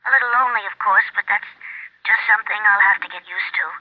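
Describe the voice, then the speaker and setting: A woman's voice: medium pitch, quick pace, dry recording, natural voice. An actress playing a lonely, frank, stoic woman on the telephone in a 1970s radio drama. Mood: resigned, frank. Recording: dry, tinny telephone-like radio recording.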